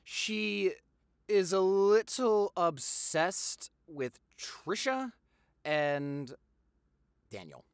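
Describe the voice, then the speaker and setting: Guy doing a gossipy popular boyfriend for audio rp, young, super lively up and down voice, teasing and dramatic, dry recording. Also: high voice, smooth, no echo, exaggerated voice. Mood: gossipy, careful. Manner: theatrical, teasing, drawn-out emphasis. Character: gossipy, playful, affectionate popular high school boyfriend. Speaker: audio roleplay voice actor. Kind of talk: dramatic boyfriend audio roleplay, addressed to listener.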